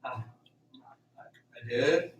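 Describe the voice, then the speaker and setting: A man playing a weary, dazed farm worker in a radio drama, talking in a vague, halting, sluggish way. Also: mid-range voice. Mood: tired. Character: dazed, weary male farm worker, dreamily food-obsessed. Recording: roomy, distant, clearly noisy.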